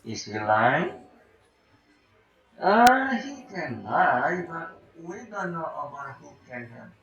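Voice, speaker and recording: A man's voice: a little high, very animated, slow pace, lo-fi sound. A man giving casual, hesitant video game commentary, thinking aloud in an echoey room. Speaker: video game let's player.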